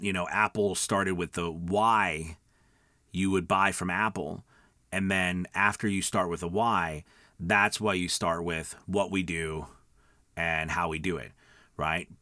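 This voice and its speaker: A man's voice: deep voice, fairly even intonation, slow pace, bright, forceful tone, dry recording, studio quality. A middle-aged man giving casual, conversational coaching to viewers, emphatic and often checking in with his listeners. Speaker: voice-over coach and video host. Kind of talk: casual instructional talk to viewers.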